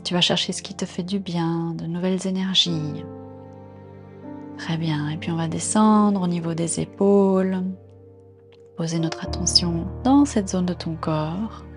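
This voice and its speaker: A woman guiding a body scan meditation in a calm, gentle, unhurried manner, giving soft instructions with pauses. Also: lowish voice. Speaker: meditation guide.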